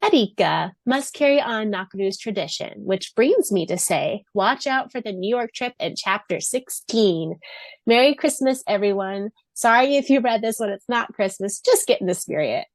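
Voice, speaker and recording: Woman, podcast co-host, casual fan chat, dry studio-free sound, medium pitch. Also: lively intonation, moderate pace, dry recording.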